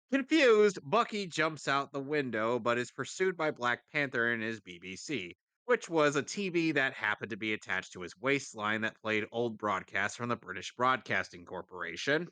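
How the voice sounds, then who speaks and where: A man's voice: mid-range voice, bright, forceful tone, clear, resonant tone, no echo. A man reading a comedic script aloud on a livestream, emphatic, with casual banter and self-corrections. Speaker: co-reader on a livestream.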